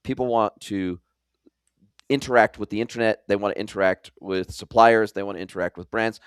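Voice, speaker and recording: A male podcast host with a blended American and New Zealand accent. His voice is on the low side and very clean, recorded close and dry. He talks in an effusive, conversational interview style. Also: fairly even intonation, brisk, clear, resonant tone, dry recording. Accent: mixed American and New Zealand. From California, United States, later long in New Zealand.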